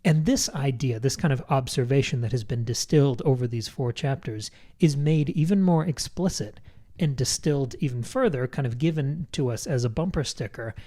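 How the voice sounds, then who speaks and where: A man's voice: medium pitch, normal speed, mellow. A man co-hosting a book discussion podcast, speaking in an articulate, explanatory and analytical way. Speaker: podcast co-host.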